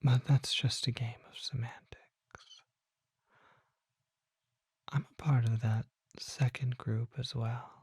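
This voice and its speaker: A performer voicing a courteous, curious vampire apothecary in an ASMR roleplay, soft and often whispering, with genteel, leisurely, musing speech. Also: medium pitch, steady tone, slow pace, long pauses, slightly rough, no echo, clean studio sound, full character voice.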